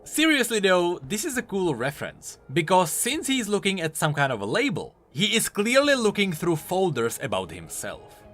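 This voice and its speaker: Very animated, bright-voiced male video commentator. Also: high voice, moderate pace, hardly any pauses. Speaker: video host, game lore commentator. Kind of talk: scripted commentary on game voice lines. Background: music.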